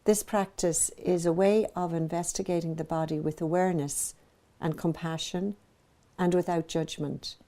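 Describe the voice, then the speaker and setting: A woman with a soft, very mellow voice, set a little low for a woman, leads a guided meditation. She speaks as a teacher, gently and evenly measured. Her phrases are separated by soothing pauses. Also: steady tone.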